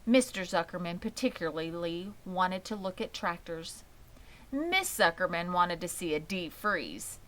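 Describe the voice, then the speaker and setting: A woman's voice: lowish voice, expressive, a little slow, fairly bright tone. A woman reading a children's story aloud as scripted narration. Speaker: audiobook reader.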